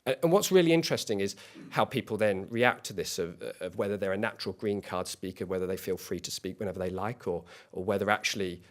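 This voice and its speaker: A man co-founding a small company, giving a competition pitch in an earnest way and often restarting and repeating words. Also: fast, few pauses. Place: event hall.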